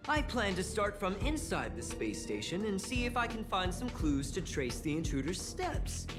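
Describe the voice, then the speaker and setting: A woman's voice: mid-range voice, natural voice. A woman voicing an earnest, talkative young cybersecurity engineer in a video game, explaining things expressively. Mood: determined. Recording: game music behind voice. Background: game music.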